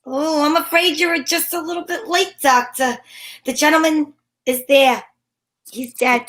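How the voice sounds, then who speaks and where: A breezy female amateur sleuth acted by a woman in an old-time radio mystery. Her high voice is wry and sensible, with a take-charge manner. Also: steady tone, unhurried, a bit bright. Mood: wry, matter-of-fact. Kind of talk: old-time radio mystery acting with first-person narration.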